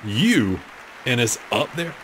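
A man in his thirties with a deep voice, casually commenting while he plays a game show video game at his desk at home. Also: very animated, slow pace, a crowd in the background, rough recording. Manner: laid-back, joking, thinking aloud. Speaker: streamer.

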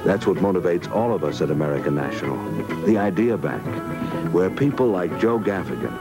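Male announcer, deep voice, expressive intonation, scripted corporate ad, vintage TV tape. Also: fairly quick. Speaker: commercial announcer. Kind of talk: scripted bank commercial narration. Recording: old 1970s TV videotape. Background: loud music.

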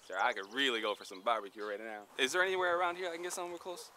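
A man's voice: medium pitch, fairly even intonation, rapid-fire, sharp tone, slight background noise, natural voice. A man playing an eager, chatty traveling husband in a drama, with a polite and playful manner. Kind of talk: acted drama dialogue.

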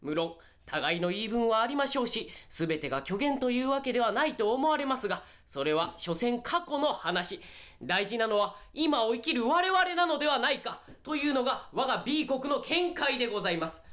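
A woman's voice: a little high, very animated, brisk, a bit bright. A woman playing an earnest, fervent envoy in a radio drama, speaking formally and theatrically. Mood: earnest pleading. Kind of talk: radio drama acting, formal diplomatic speech.

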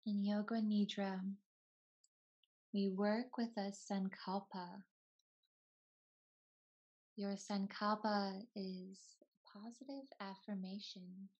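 A woman yoga teacher leading a guided meditation in a soothing, unhurried way, in short phrases with long pauses between them. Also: medium pitch, steady tone, a little mellow, husky, lo-fi sound. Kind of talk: slow guided meditation narration.

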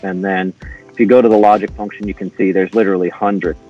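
A middle-aged man giving an informal, halting technical walkthrough as a podcast guest, step by step. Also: sits low, fairly even intonation, dark tone, muffled recording, soft background music. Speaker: podcast guest, intercom engineer.

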